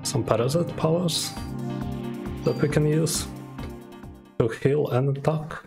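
A young man with a Russian accent gives relaxed, unhurried commentary over a game he is playing. He talks at a slow, halting pace and keeps pausing to hum and think aloud. Clear game music runs underneath his voice. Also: fairly high pitch. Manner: thinking aloud with many pauses and hums. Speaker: streamer. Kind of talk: casual gameplay commentary.

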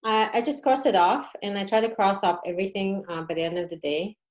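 A woman's voice: mid-range voice, steady tone, brisk. A woman guest on a podcast, telling her experience in a casual, informal way with frequent check-in questions. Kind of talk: casual conversational storytelling.

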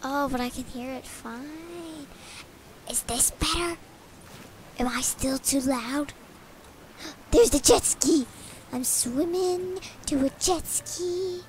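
Fields: high-voiced young girl gaming. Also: takes it slowly, a little mellow, slight background noise. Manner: eager, narrates her own moves. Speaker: child co-player. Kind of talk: excited gameplay chatter.

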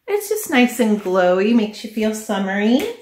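A woman's voice: medium pitch, very animated, moderate pace, background noise. A middle-aged woman chatting casually to the camera in her living room, thinking aloud as she reviews products. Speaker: vlogger presenting a product haul. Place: small living room at home, indoors. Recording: echoey home living room.

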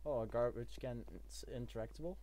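A man's voice: medium pitch, fairly quick, a little hiss or hum. A man hosting a gameplay video, chatting casually and laughing with friends over voice chat. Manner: lively, giggly, talks over voice chat.

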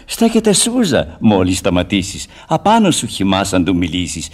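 A man's voice: low-pitched, wildly swooping pitch, exaggerated voice. A man playing a scholar's chatty, down-to-earth, pedantic assistant, declaiming verse in a sing-song way in a Greek radio drama. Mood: amused, lively.

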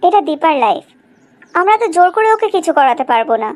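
Woman acting young newlywed wife, very high voice, confident, teasing, emphatic, cartoon drama. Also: very animated, fast talker. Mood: surprised, determined. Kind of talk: animated cartoon drama dialogue.

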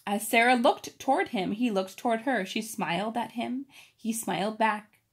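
A woman reads a children's story aloud with expressive emphasis, pausing to explain it informally. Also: fairly high pitch, husky. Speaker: storybook reader.

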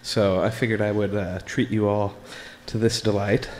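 An American man reading his own writing aloud at a live open mic, in a deliberate, measured way with pauses between short phrases. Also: on the low side, level tone, darkish tone. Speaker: spoken word performer reading own writing. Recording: live recording in a reverberant room.

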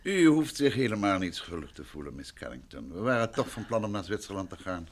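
Animated, probing radio-play detective. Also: male voice, deep voice, fairly quick. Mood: composed, inquiring. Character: composed, probing male detective questioning politely. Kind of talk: Dutch radio drama acting. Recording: old radio play, background noise.

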